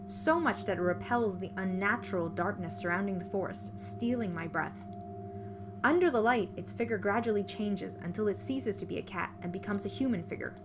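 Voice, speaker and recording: A woman reading a story aloud as scripted narration, in an expressive storytelling manner. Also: medium pitch, moderate pace. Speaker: visual novel player reading the text aloud. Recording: music behind the voice.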